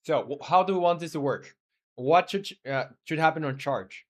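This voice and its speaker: A man whose first language is Spanish, a game developer chatting casually on a live stream. He thinks aloud in halting bursts, with frequent hesitations and restarts. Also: a little high. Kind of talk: casual live-stream chat and coding talk.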